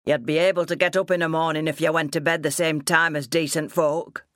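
A woman's voice: low voice, steady tone, brisk, a bit bright, no room sound, clean studio sound. A woman voicing a stern, gaunt farmer father in an audiobook, with a growling, sarcastic manner and rustic dialect. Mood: scolding, stern. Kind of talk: audiobook character dialogue.

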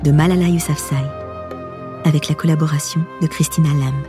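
A woman narrating a memoir as an audiobook, in a breathy, husky voice with a measured, expressive literary delivery. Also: low-pitched, over music.

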